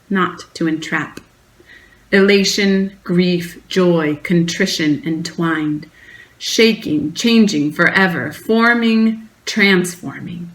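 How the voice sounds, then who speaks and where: A woman worship associate speaking in a church service, deliberate and reverent, pausing between phrases. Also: medium pitch, fairly bright tone, poor audio. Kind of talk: reverent reading of a poem in a service.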